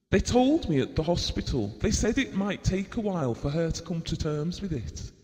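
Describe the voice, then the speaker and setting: A troubled husband in a radio play, full of guilt and exasperation. He confesses slowly in a strained, high voice, with a long reverb around him. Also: a little mellow. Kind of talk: audio drama monologue and dialogue. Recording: reverberant hall-like room sound.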